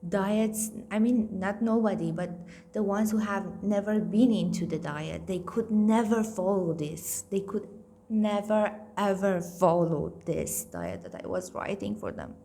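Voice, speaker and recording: Blunt Indian-accented woman, slow talk. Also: mid-range voice, expressive, mellow, a little hiss or hum. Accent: Indian-tinged English. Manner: candid, blunt, swears freely, self-mocking. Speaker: nutrition coach. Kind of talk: casual weight-loss vlog.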